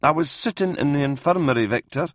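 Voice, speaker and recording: A man's voice: fairly high pitch, fast talker, no echo, studio quality, voice pushed up, lightly acted. A man voicing a frail but stubborn and dignified old regimental doctor in an audiobook, speaking earnestly and insistently. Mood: weary. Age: older. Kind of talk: audiobook character voice in military science fiction.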